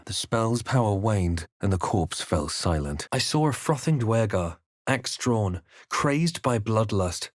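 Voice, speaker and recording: A man playing a grave, wary fantasy adventurer, delivering scripted game lines in a measured, dramatic way. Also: lowish voice, no echo, studio quality. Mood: grave, intent.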